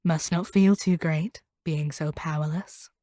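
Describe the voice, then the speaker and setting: A woman playing a proud, cold monster hunter in an audio roleplay, speaking to a captive in a measured, condescending and domineering way. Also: deep voice, fairly even intonation, warm tone, no room sound, clean studio sound. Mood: cold, menacing. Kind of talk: scripted audio roleplay monologue.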